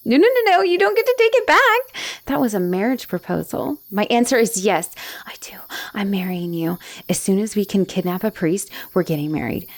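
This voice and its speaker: A young woman playing an obsessive, clingy girlfriend on the run from an asylum, in an intimate one-sided audio roleplay with sighs and soft, teasing turns. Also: mid-range voice, big pitch swings, moderate pace, no echo. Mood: excited, teasing. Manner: breathy sighs, teasing, drops into soft whispers.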